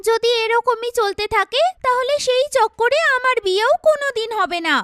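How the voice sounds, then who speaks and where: A woman voicing a vain, sharp-tongued young woman in a cartoon, with a blunt, complaining, mocking manner. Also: very high-pitched, normal speed, no echo, clean studio sound, voice pushed up. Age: young adult. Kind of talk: cartoon dialogue.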